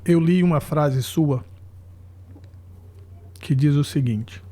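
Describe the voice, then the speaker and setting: A Brazilian man hosting a podcast interview, talking in an earnest, halting, apologetic way. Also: a little high, dark tone. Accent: Brazilian Portuguese. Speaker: podcast host. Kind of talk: emotional interview.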